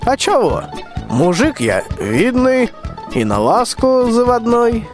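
A very high-pitched, pompous tsar, acted by a man holds forth in rhymed folk speech. He is bossy and wheedling, with swooping, unhurried delivery in a radio fairy tale. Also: huge pitch swings, soft background music, full character voice. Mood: pompous wooing. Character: blustering lovestruck old tsar, bossy and wheedling. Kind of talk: rhymed folk-tale radio play, amateur school production.

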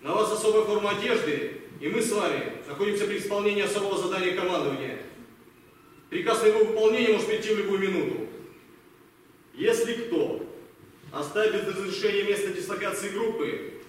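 Актёр играет строгого капитана, высокий голос, гулкий зал. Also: male voice, sits high, brisk, natural voice. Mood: stern, strict. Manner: authoritative, clipped military delivery. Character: stern army captain commanding a wartime women's reconnaissance squad. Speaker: amateur theatre actor. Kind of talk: audio play acting. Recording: very echoey hall, distant mic, faint noise.

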